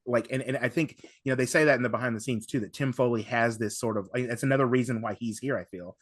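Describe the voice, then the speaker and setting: Man, mid-range voice, podcast panelist, casual analysis, halting repetitive phrasing, dry sound. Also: medium pitch, no echo.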